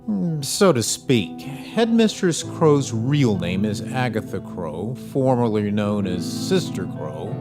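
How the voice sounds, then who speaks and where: An actor playing a businesslike lawyer who lays out case details in a measured way. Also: male voice, medium pitch, big pitch swings, over music. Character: calm lawyer advising a couple.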